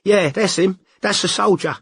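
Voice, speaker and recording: A man voicing a cocky, smug man with a husky voice, in an audiobook, blunt and slangy. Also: high-pitched, moderate pace, fairly bright tone, voice pushed up, exaggerated voice. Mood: casual. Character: cocky working-class English man, chatty, smug. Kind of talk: audiobook character voice.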